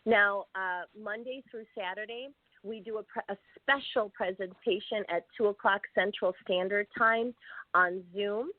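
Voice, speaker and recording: A woman giving a promotional pitch on a call, going slowly and carefully over numbers and details. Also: no echo. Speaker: product presenter. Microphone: call-in line. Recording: tinny phone-like call audio.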